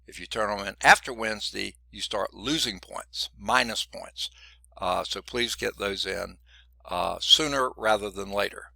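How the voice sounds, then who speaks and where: A man's voice: lowish voice, unhurried, vocal fry, sharp tone, no echo. A middle-aged man teaching a college math class, chatty and digressive, sounding congested and often checking in with "okay?". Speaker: math instructor. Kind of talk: classroom lecture.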